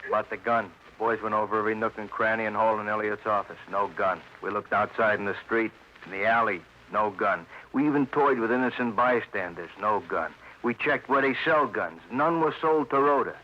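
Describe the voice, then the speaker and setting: An actor playing a wry police sidekick who reports in clipped, deadpan fashion on an old radio crime drama. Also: male voice, lowish voice, brisk, close to an everyday voice. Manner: droll, clipped, repetitive. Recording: muffled, hissy old radio broadcast.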